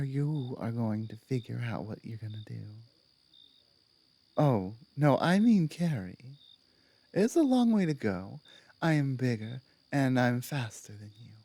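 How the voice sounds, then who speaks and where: A woman playing a big, strong female minotaur guard captain in an ASMR roleplay, gruff but protective, firm and teasing, sometimes dropping to a hush. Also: very deep voice, voice pushed down, full character voice.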